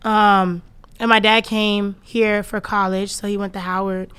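A young woman guest on a podcast, with a smooth, clear voice. She talks in a relaxed, open way with slang and a few filler sounds. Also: mid-range voice, measured pace. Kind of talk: casual podcast chat.

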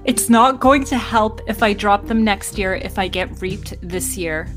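A woman's voice: mid-range voice, unhurried, close to an everyday voice. Woman playing a downbeat teenage girl over music. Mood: grim, resigned. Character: teenage girl from a poor district, fatalistic, caring. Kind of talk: audio drama dialogue.

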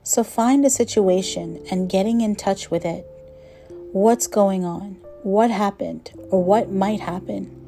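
A woman guiding a meditation with slow, gentle instruction in a soothing, measured manner, letting phrase ends trail softly. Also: medium pitch, creaky, soft background music. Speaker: meditation guide.

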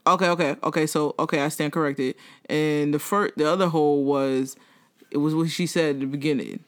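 A woman in her thirties co-hosting a casual gossip chat, blunt and profane, launching into animated rants. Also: low-pitched, a little fast. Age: 30s. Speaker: podcast co-host.

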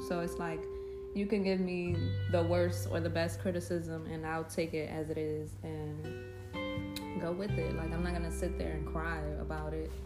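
A woman co-hosting a podcast in a casual, slangy chat, swearing freely and speaking in a laid-back way. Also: fairly low pitch. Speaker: podcast co-host. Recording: echoey room with loud guitar music. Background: loud guitar music.

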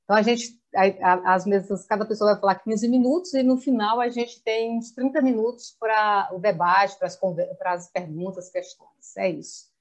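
A woman's voice: medium pitch, steady tone, moderate pace. A woman with a Brazilian accent informally introducing an online panel as moderator, often adding little tag questions. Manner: conversational, frequent tag questions.

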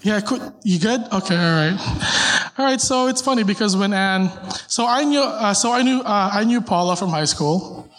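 A man's voice: high voice, expressive, normal speed, a bit bright. A young man giving a live storytelling talk to an audience, joking and self-deprecating, chatting with the crowd and stammering through restarts. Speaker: guest speaker at a live storytelling event. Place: event room with a live audience.

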